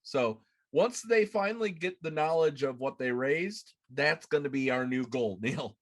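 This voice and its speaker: A man's voice: on the high side, animated, a little slow. A man in his thirties hosting a podcast, chatting casually on a live show from a room at home. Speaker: podcast host. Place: small room at home, indoors.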